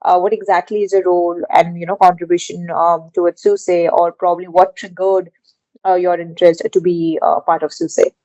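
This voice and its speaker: A woman's voice: lowish voice, little pitch movement, moderate pace, warm tone, no echo, dull audio. A woman hosting a podcast interview, asking long winding questions with many hesitations. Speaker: podcast host.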